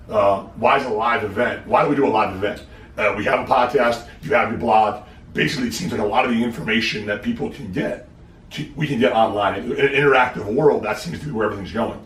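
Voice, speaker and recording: A fast-talking man hosts a casual podcast, his voice slightly scratchy and worn. His tone sits low and he teases his co-host. He sounds roomy, set back from the mic, with a faint hiss behind him. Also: a bit bright, distant mic. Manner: teasing, quick back-and-forth. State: losing his voice, a little scratchy. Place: podcast studio, being filmed.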